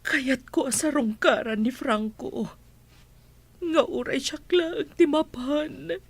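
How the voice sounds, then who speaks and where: An actress playing a grief-stricken, deferential household elder in a melodrama, tearful and pleading. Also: female voice, high-pitched. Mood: grieving, pleading. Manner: tearful, pleading, drawn-out delivery. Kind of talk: melodramatic Ilocano serial drama acting. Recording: music under the voice.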